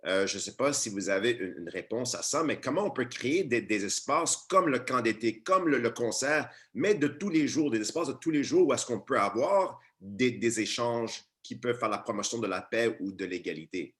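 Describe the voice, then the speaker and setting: A man's voice: mid-range voice, lively intonation, normal speed, hardly any pauses, fairly bright tone. A man from Quebec hosting a dialogue, putting questions with thought and some hesitation. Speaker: host, moderator. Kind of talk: conversational dialogue.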